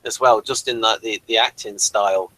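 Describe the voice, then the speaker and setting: English bloke explaining stuff casually, bright sharp voice, stop-start delivery, very dry sound. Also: male voice, fairly low pitch, fairly even intonation, normal speed, no room sound, low-quality recording. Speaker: podcast reviewer. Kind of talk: casual discussion.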